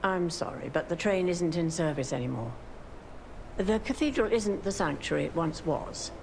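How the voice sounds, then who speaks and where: A grim older gatekeeper woman in a dark video game. Her voice is lowish and full of creak, the delivery slow, solemn and heavy with guilt. Noisy ambience sits behind her. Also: expressive. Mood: mournful warning. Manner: solemn, ominous, measured. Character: grim, sorrowful guilt-ridden older female cathedral gatekeeper. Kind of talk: dramatic video game voice acting. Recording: clearly noisy ambient background.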